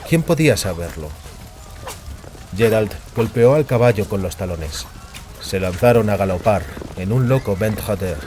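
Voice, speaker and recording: A man's voice: on the low side, lively intonation, normal speed, darkish tone, a little hiss or hum. A man giving a dramatic scripted narration of a fantasy audiobook.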